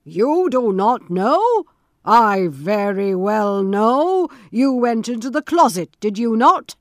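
A woman's voice: a little high, big pitch swings, no echo, clean studio sound. Bluebeard, a cruel nobleman, as a woman reads him. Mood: menacing. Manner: slow, loud, threatening. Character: cruel menacing nobleman husband, male.

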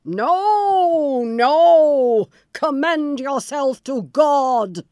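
A cruel, threatening nobleman, as a woman puts him on, drawing out his words slowly and loudly. The voice is raised, and the recording is dry and close. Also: on the high side, animated, no room sound. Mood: furious. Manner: slow, loud, threatening. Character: cruel menacing nobleman husband, male.

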